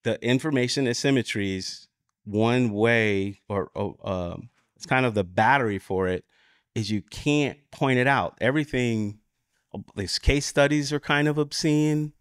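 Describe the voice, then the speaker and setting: A man with an American accent giving an informal, explanatory conference talk, halting as he searches for words and often checking in with "right?". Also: medium pitch, clear, resonant tone, dry recording, studio quality. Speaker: conference speaker. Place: conference room at a lectern.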